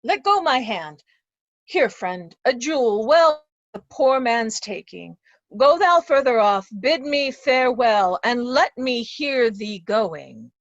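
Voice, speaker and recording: A woman's voice: on the high side, expressive, slow pace, dry recording, lo-fi sound. A woman playing a blind, despairing old nobleman in an amateur Shakespeare reading, weary and solemn. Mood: resigned. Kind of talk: amateur Shakespeare reader's theatre.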